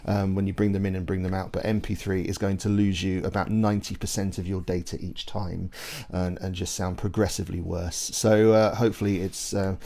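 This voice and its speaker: A man's voice: low-pitched, little pitch movement, a little fast, warm tone. A middle-aged man hosting a casual podcast chat and reading the outro in a conversational way. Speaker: podcast host.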